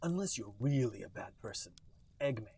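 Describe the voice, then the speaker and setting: Brooding male hedgehog, acted by a man, hushed, solemn, earnest, lowered pitch, comic fan fiction reading. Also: lively intonation, fairly quick, slightly rough. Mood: solemn, wistful.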